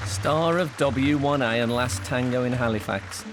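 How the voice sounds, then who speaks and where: A man reads scripted TV narration in a measured, even way. A music bed plays clearly under his voice. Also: medium pitch, crowd noise.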